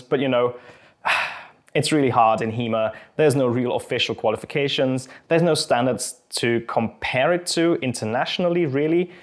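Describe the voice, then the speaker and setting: A young adult man from Austria, a native Austrian German speaker whose English carries a British accent, hosting a casual question-and-answer video in a friendly, thoughtful and slightly hesitant manner. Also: medium pitch. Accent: Austrian German mixed with British English. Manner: friendly, thoughtful, a little hesitant with frequent ums. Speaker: channel host and fencing instructor. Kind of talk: casual Q&A to camera.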